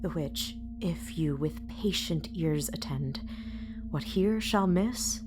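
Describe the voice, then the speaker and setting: A woman playing the grave chorus of a tragedy, reciting Shakespearean verse in a formal, measured way. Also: low voice, noisy background. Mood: solemn. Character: solemn chorus narrator of a tragedy.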